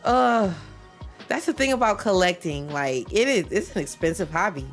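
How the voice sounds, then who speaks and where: A woman with a stuffy nose chatting casually with her viewers while she warms up at a game, rambling and repeating herself. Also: fairly low pitch, expressive, normal speed, soft background music. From Michigan. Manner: rambling, repeats herself, chats with stream chat. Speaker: livestreamer playing a speedrun practice.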